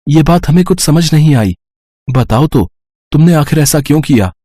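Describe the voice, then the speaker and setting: A man's voice: fairly high pitch, lively intonation, moderate pace, dark tone, dry recording, clean studio sound. A man playing an obedient, earnest disciple of a holy man, with a solemn manner.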